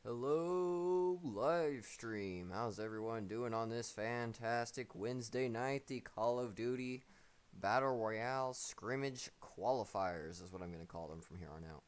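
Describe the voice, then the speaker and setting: A man's voice: medium pitch, measured pace, clear, resonant tone. A man hosting a mobile game tournament on a live stream, talking in a laid-back, slangy, joking way. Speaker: tournament host.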